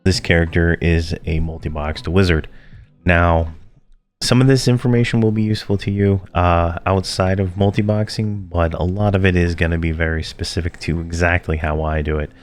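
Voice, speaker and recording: A man's voice: sits low, fairly even intonation, normal speed, soft background music. A man losing his voice, talking casually and rambling through a game walkthrough with many fillers and restarts. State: losing his voice, a bit rough. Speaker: game guide creator.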